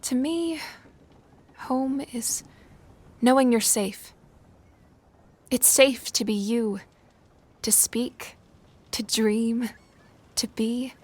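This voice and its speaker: A woman acting a reserved, homesick young noblewoman in a Regency audio drama, soft-spoken and sincere. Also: on the high side, steady tone, very slow, pauses often. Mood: quiet, wistful.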